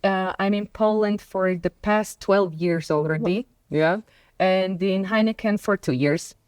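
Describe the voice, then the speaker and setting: A woman from Croatia and Bosnia, a guest on a company talk show, speaking in unhurried English with many fillers. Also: medium pitch, a little mellow, smooth, dry recording. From Croatia and Bosnia and Herzegovina. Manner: hesitant, many fillers, laughs between points. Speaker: podcast guest. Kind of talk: casual conversation.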